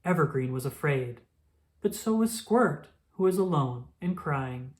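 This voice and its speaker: Animated, unhurried woman storyteller. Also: low-pitched. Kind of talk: expressive children's book read-aloud.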